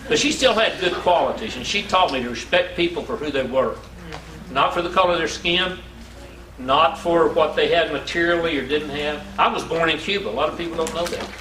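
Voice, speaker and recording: A man, telling folksy personal stories to an audience in an inspirational talk, acting out the dialogue. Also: a little high. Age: 60s. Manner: folksy, animated storyteller with repetition and acted-out dialogue. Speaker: speaker telling his life story to an audience. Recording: roomy, distant mic, faint background noise.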